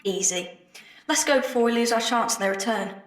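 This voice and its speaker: A woman's voice: a little high, brisk, natural voice. A woman playing a feisty, sardonic working woman in a Victorian zombie audio drama. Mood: brisk.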